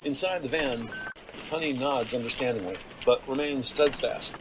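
A man narrating an audio drama in a measured, descriptive storytelling manner. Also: mid-range voice, background noise.

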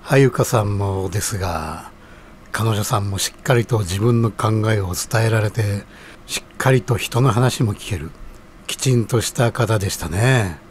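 A man's voice: on the low side, a little slow, natural voice. An actor playing a polite, genial middle-aged ramen stall owner and father in an audio drama, speaking humbly and courteously. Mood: polite, admiring. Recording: audible background noise.

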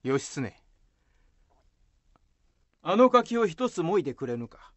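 A man plays a dignified samurai commander, a composed elder brother in a radio play. His voice sits slightly high for a man, and he delivers lordly, archaic lines slowly. The recording is dry. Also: very animated, a bit bright, no echo, exaggerated voice. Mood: composed, commanding. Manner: lordly, measured archaic speech. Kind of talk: Japanese radio drama acting.

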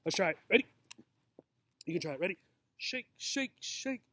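A man's voice: high-pitched, expressive, moderate pace, sharp tone, no echo. A man in his forties reading a picture book aloud as a teacher, with simple, encouraging talk for young children. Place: outdoors in a grassy yard. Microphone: camera or laptop mic at about arm's length.

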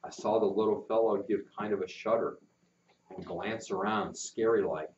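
A man's voice: lowish voice, steady tone, moderate pace, a little mellow, slight background noise. An older man reading a children's book aloud in a folksy first-person narration, in a classroom. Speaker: storyteller. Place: classroom with posters, indoors. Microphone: far camera. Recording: roomy and distant, muffled.

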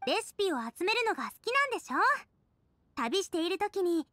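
A woman's voice: very high-pitched, big pitch swings, brisk, a bit bright, no room sound, clean studio sound, full character voice. A Japanese voice actress playing a cheerful, eager teenage girl cook in an anime game, bubbly and earnest. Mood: eager, friendly. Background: game music.

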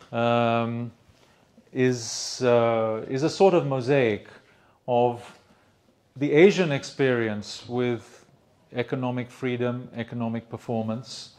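An older man from Sri Lanka giving an academic lecture in a conference room into a handheld mic, measured, with many fillers and restarts. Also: medium pitch. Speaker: economics lecturer. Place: conference room with projector screen.

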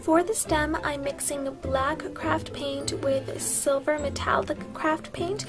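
A woman's voice: sits high, steady tone, slow pace. A woman in her thirties hosting a DIY video, talking through a craft tutorial in a casual way. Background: music.

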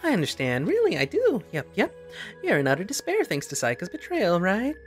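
A high-pitched, swooping cartoon bear villain put on by a man, gleeful and mock-polite. The voice taunts in a theatrical sing-song, with game music playing behind it. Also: wildly swooping pitch, a little fast. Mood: mocking sympathy.

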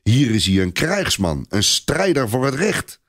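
A man's voice: mid-range voice, very animated, unhurried, a bit bright. A man narrating a scripted story in a dramatic storytelling style.

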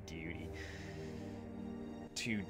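A man gives casual let's play commentary in a low voice. He talks informally and rambles a little. Music plays clearly in the background. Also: expressive, drawn-out words. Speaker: gaming video host.

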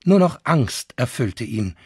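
A man's voice: medium pitch, big pitch swings, slow, darkish tone, slightly rough, no echo, studio quality. A man giving a dramatic scripted reading of historical chronicles. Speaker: reader of historical source texts.

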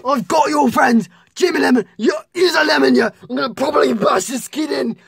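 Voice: sits high, fairly quick, gravelly, slight background noise. A performer with a British accent voicing a power-mad, mocking villain who rambles and keeps adding tag questions. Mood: ranting, shouting. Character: boastful taunting villain, power-mad and cocky. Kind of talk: amateur villain role-play.

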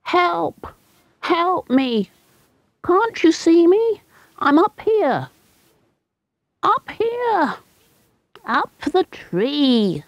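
A woman's voice: high voice, expressive, unhurried, darkish tone, dry recording, pitched above the performer's own voice. A woman voicing a frightened young boy stuck up a tree, pleading and close to tears.

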